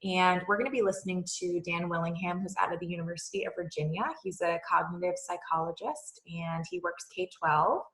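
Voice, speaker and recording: A woman co-hosting an online teaching course, explaining calmly and often agreeing, with "um"s and "uh"s. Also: on the low side, brisk, few pauses. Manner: agreeable, backchannels often, filler-laden. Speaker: course co-host, literacy educator. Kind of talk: casual instructional discussion. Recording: roomy echo.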